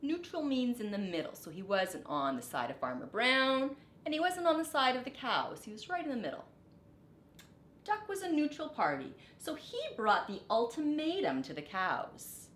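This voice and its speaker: Woman teacher reading aloud, animated pitch. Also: normal speed. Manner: explanatory, questioning, addressing children. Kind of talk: storybook narration with teaching asides. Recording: roomy echo, background noise.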